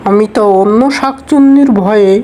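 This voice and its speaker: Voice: mid-range voice, normal speed, mellow, lightly acted. An actor voicing a sly male jackal trickster in a cartoon fairy tale, coaxing and wily in delivery.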